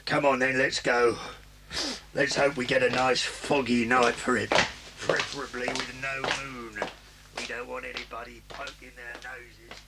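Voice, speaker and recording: An actor playing a gruff adult crook who leads a gang, barking orders in a menacing way. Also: male voice, mid-range voice, level tone, measured pace, very noisy, close to an everyday voice. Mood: bossy.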